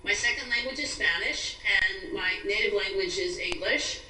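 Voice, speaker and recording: Bright-voiced American woman on a roomy call. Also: mid-range voice, normal speed. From New York State, USA. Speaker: interview guest, education activist. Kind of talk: earnest advocacy interview. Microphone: video call. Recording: roomy, distant, noisy internet video call.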